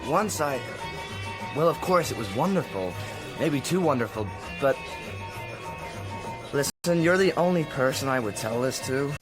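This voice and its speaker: A man's voice: mid-range voice, lively intonation, a little slow, pauses often, music in the background, lightly acted, rough recording. An actor playing a naive, lovesick sixteen-year-old boy in a radio drama, speaking hesitantly and earnestly. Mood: embarrassed, confiding.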